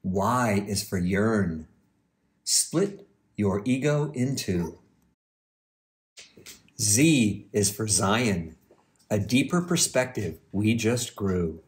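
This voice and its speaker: Guy reading a kids picture book out loud, really slow and careful, voice goes up and down a lot. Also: on the low side, lively intonation. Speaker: university president, guest reader. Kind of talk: children's storybook read-aloud.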